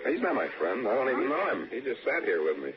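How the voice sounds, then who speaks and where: An actor in a 1960s radio suspense play, playing an awkward, forward diner customer who halts and repeats himself. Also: male voice, mid-range voice, very animated, over a noisy crowd, close to an everyday voice. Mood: flirtatious. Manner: halting, stammering, repeating himself. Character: awkward, forward male diner customer, uneasy first-person storyteller. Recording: very noisy muffled old radio recording.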